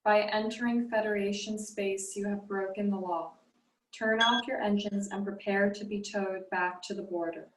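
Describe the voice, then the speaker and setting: A woman's voice: mid-range voice, normal speed, close to an everyday voice. Woman as a cold computer, roomy and distant. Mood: impersonal, official. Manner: formal, flat, procedural announcing. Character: cold official border-security drone computer, rigid and impersonal. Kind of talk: sci-fi audio drama reading. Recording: roomy, noisy, distant-sounding remote microphone.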